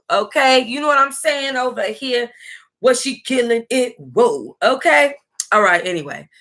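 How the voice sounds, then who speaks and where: Female, American, higher-pitched. Book club livestream host, casual animated read-aloud with blunt, salty reactions.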